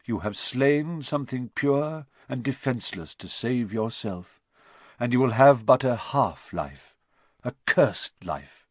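A man's voice: mid-range voice, no room sound. Young storybook centaur, played by a man: grave, noble, formal, protective, with a wide-ranging delivery. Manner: solemn, formal, measured. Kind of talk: dramatized audiobook character voice.

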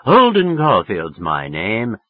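A man voicing a teenage boy who deepens his voice and talks deliberately to pass as a suave, mature man. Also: big pitch swings, slightly rough, no room sound, narrow, tinny audio, full character voice. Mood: suave, coaxing. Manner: slow, deliberate, falsely suave.

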